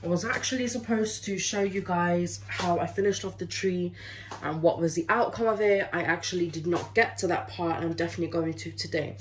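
A woman's voice: fairly low pitch, a little fast. A young woman chatting to her phone camera while she does dishes in a small home kitchen. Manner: chatty, apologetic, talks while washing dishes. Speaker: vlogger. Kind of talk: casual chat to camera while doing chores. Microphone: phone camera propped on the counter. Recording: loud running water from the sink. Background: running water and kitchen clatter.

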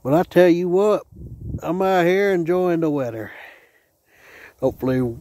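A man, hoarse and losing his voice, chatting in a folksy, joking way while vlogging on a phone held at arm's length. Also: a little high, lively intonation, unhurried, mellow. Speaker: railfan vlogger. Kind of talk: casual folksy vlog. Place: outdoors by a car, then indoors at home.

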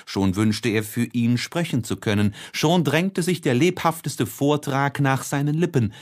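A man narrating a literary audiobook in a dramatic, measured storytelling manner. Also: mid-range voice.

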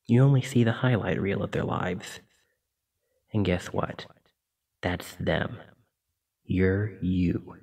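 A man playing a kindly, apologetic sleep paralysis demon in an ASMR roleplay, speaking in a gentle, reassuring, informal way. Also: low voice, slow pace, pauses often, breathy edge, far from the mic, heavily acted.

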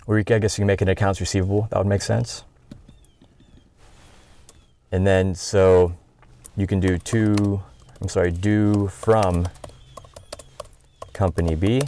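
An American man in his thirties with a low-pitched voice walks through a software tutorial. He explains step by step in a conversational, informal way. The sound is dry, with very little room in it. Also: little pitch movement, unhurried, pauses often, no room sound. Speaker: tutorial instructor.

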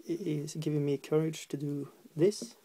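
A man's voice: a little high, fairly even intonation, vocal fry, dark tone, husky. A man from Norway with a Norwegian accent, hesitantly talking through a miniature painting tutorial at his desk, with many fillers. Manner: hesitant, halting, many fillers, humming while working. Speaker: hobby painter. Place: at a desk indoors.

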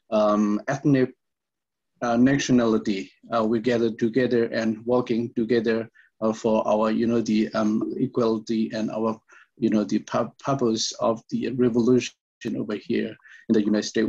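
A man's voice: medium pitch, creaky, a little mellow, breathy edge. A man from Burma introducing himself on an online panel, hesitant, with many fillers and restarts. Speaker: panelist, community organization secretary. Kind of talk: self-introduction on a video panel. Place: indoor room on a video call. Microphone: video call. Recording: muffled video call audio.

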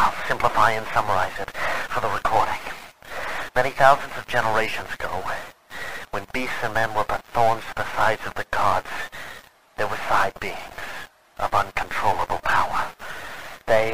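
An actor playing an earnest, weary man of the 1920s recording a grave, measured, formal log in an old-time radio drama. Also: lowish voice, steady tone, background noise, natural voice. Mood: ominous, intense.

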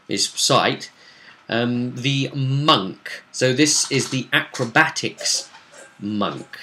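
A man's voice: medium pitch, sharp tone. A man in his twenties casually retelling a game session like a story, acting out bits of dialogue, recorded in a room at home. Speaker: vlogger recapping a role-play game session. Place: small room at home, indoors.

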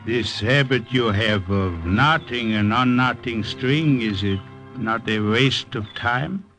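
A man's voice: mid-range voice, slow, a bit bright, faint music behind. A man acting a grave European resistance informant with formal phrasing in an old radio spy drama. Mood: grave, secretive. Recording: noisy old-time radio recording.

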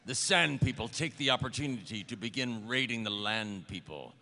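A man's voice: medium pitch, expressive, normal speed, bright, forceful tone. A man reading dramatic scripted narration as the narrator of a live table read. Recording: reverberant live hall.

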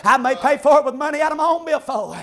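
A preacher with a very high voice delivers a revival sermon in a church. He shouts in a rhythmic, chanting cadence, punctuating nearly every phrase with "amen" and a drawn-out "ah.".

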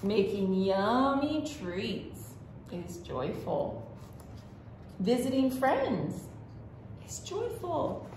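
Lady librarian doing kids storytime, really animated and slow, bit of a roomy echo. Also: medium pitch. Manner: simple, expressive delivery addressed to young children. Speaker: children's librarian, storytime host. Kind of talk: read-aloud children's storytime. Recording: roomy echo with faint background noise.

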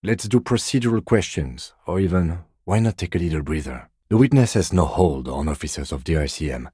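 A man playing a composed, by-the-book police detective in a video game, speaking in a measured, reserved, matter-of-fact way. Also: sits low, lively intonation, dark tone, husky, no echo, clean studio sound. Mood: composed, dry. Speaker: voice actor. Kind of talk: video game character dialogue.

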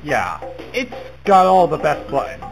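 A man playing a patient, halting fellow giving casual instructions in a horror game. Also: a little high, big pitch swings, gravelly, over music, exaggerated voice. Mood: patient, helpful.

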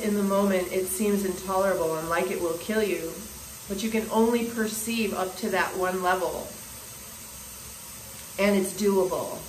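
Woman in her forties musing to camera. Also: medium pitch. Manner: earnest, musing, with hand gestures and restarts. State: scratchy throat, clearing it often. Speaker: spiritual vlogger. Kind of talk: casual spiritual monologue to camera. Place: small indoor bathroom. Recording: roomy bathroom echo, faint hiss.

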